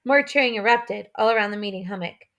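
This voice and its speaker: A woman's voice: mid-range voice, quick pace, a bit bright. A woman narrating an adventure novel as an audiobook, in a scripted storytelling style.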